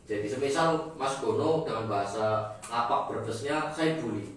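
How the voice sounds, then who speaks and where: A man's voice: medium pitch, normal speed. A young man moderating a casual group discussion, joking and prompting the others to speak. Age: young adult. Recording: echoey room, distant mic, faint noise.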